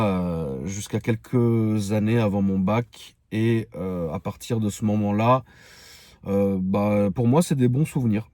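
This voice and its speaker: A man's voice: fairly low pitch, mellow, no echo, studio quality. A man in his thirties with a Parisian French accent, rambling frankly and casually in slang to his online followers. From Paris, France. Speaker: online video creator. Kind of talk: casual personal storytelling to followers.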